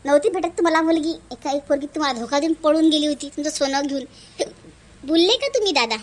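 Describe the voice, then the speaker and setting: A woman plays a righteous, outspoken young neighbour in a cartoon family drama. The pitch is extremely high. She moralizes with emphatic reproach. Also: fast, lightly acted. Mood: reproachful.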